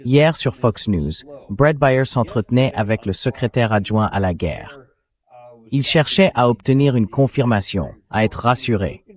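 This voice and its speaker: A man's voice: mid-range voice, animated, unhurried. An AI-voiced man speaking as a talk show host, giving dubbed political commentary. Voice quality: synthetic AI voice.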